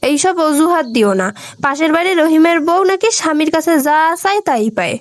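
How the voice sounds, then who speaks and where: Woman playing a high-pitched, demanding young wife. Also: animated, a little fast, no echo, studio quality. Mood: insistent, demanding. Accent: Bangladeshi. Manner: nagging, insistent. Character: demanding, stubborn, sulky young village wife. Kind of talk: comic cartoon dialogue.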